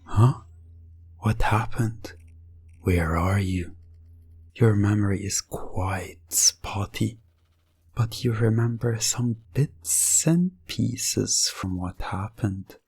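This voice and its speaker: Very slow, husky male hypnosis narrator. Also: on the low side, darkish tone. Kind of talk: slow scripted second-person hypnosis ASMR narration. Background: music.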